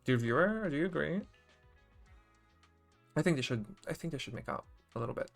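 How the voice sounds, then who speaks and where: A man's voice: a little high, lively intonation, fairly quick, creaky, soft background music. A man streaming a video game with casual, joking, wry commentary.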